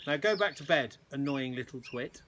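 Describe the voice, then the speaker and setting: A man's voice: medium pitch, animated, normal speed, a bit bright, lightly acted. A man voicing a bossy, mocking girl from a children's storybook. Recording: noisy outdoor recording with birdsong.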